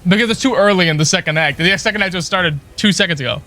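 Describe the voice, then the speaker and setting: A man's voice: sits high, quick pace, sharp tone. A man livestreaming a video game with casual, foul-mouthed, exasperated commentary. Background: video game music.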